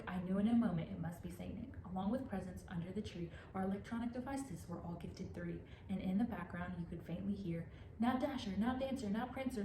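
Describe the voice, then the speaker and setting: A woman's voice: mid-range voice, close to an everyday voice. A teenage woman playing a storybook narrator, reciting rhymed Christmas verse in a projected, declamatory way. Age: teens, high school senior. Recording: echoey room, faint background noise.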